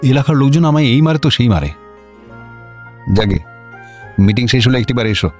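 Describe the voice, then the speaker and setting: Man acting a senior government officer, very expressive, mid-pitched, officious, incredulous, radio comedy. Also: moderate pace, soft background music, natural voice. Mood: exasperated. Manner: officious, chatty, incredulous questioning. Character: male district magistrate, exasperated, bemused senior official. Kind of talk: Bengali comic radio drama acting.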